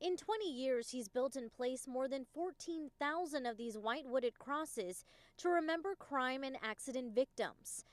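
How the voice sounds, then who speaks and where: High-pitched woman reporting TV news. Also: animated, a little slow, no room sound. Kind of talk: broadcast news reporting, live and scripted. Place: outdoors at a memorial for live reports.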